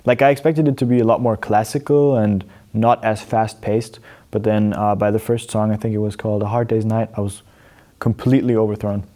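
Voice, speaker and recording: An eighteen-year-old young man from Austria excitedly answering, leaning on "like". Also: fairly low pitch, a little fast. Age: teens. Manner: excited, heavy use of "like". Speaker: interviewee.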